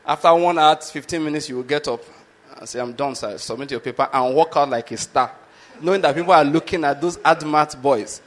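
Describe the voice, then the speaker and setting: A man's voice: on the high side, fairly quick. Nigerian man telling a chuckling story. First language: Yoruba. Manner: chuckling, rhetorical, often asks "you understand my point?". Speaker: medical lecturer. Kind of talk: casual storytelling monologue.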